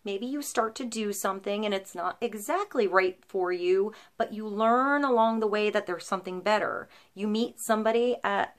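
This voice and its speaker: A woman in her thirties reads tarot cards straight to camera in a small indoor room. She talks in a flowing, reassuring way, full of little ums and likes, and her pitch sits right in the middle for a woman. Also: moderate pace, hardly any pauses. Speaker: tarot reader. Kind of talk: intuitive tarot reading to camera. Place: small indoor room, seated before a painted wooden backdrop.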